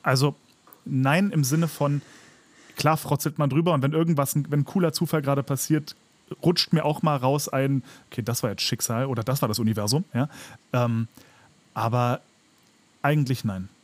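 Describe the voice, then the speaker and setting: A man's voice: mid-range voice, moderate pace. A man co-hosting a podcast in casual conversation, skeptical and argumentative, restarting his phrases as he reasons. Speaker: podcast co-host.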